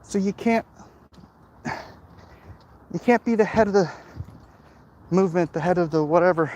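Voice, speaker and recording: A man talking casually off the cuff in a rambling, opinionated, halting way, like a livestream host commenting and answering chat. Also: sits high, darkish tone. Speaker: host of a livestream vlog, comic creator. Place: outdoors, walking in an urban area. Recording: clear outdoor traffic and street noise.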